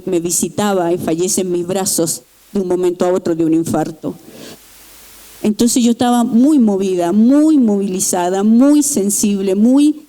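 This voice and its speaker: A middle-aged woman from Chile, giving an earnest, halting personal testimony in church with pauses and restarts. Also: medium pitch, mellow. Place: church sanctuary.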